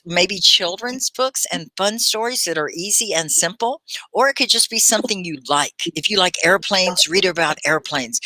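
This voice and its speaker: Woman from texas, low-ish voice, very lively pitch, dry close sound, explaining English to learners. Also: no room sound, rough recording. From Texas, USA. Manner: animated, explanatory, laughs often. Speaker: ESL teacher and show host. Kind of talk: live online English lesson.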